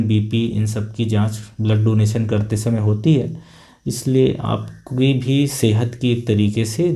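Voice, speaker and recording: Calm, mellow-voiced male doctor explaining. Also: mid-range voice. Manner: calm, patient, plain-spoken with filler pauses. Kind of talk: explanatory health talk.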